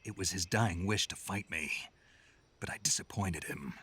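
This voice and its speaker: An actor playing a gruff, stoic male soldier who talks in short, husky lines in a game cutscene. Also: normal speed, sharp tone, full character voice. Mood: subdued. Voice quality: husky, gravelly. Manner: terse, low-key. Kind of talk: video game cutscene acting.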